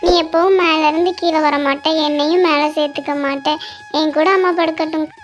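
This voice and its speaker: A woman's voice: high-pitched, fairly even intonation, brisk, faint music behind, lightly acted. A woman voicing a meek, self-sacrificing little sister in a cartoon story, in a plaintive, yielding manner. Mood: hurt, pleading.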